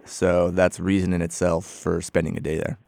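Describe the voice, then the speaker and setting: A man guesting on a radio travel show, relaxed and agreeable. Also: low voice, normal speed, gravelly, dry recording. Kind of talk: radio interview.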